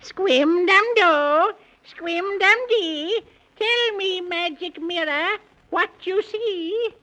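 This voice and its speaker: Wicked old witch, super high voice, drawn out gloating rhymes, muffled 30s radio sound. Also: very slow, pushed and bright, heavily acted. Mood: incantatory. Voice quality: cackling laugh. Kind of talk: 1930s children's radio serial acting. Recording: band-limited vintage 1930s radio recording.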